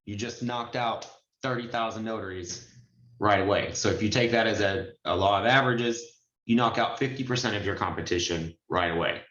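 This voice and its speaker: A man's voice: on the low side, a little fast, fairly bright tone. A man leading an informal screen-share training as a presenter, explaining things step by step and speaking directly to his listeners. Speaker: notary trainer and signing agency presenter. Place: indoors on a group video call. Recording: muffled, roomy online call audio.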